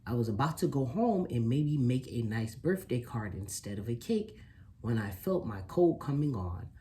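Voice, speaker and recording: A reader playing a sly storybook wolf, mock-innocent, lively swooping pitch, mellow tone, faint noise behind. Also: normal speed, lightly acted. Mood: self-justifying. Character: sly, self-excusing wolf telling his own story. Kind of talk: children's picture book read aloud.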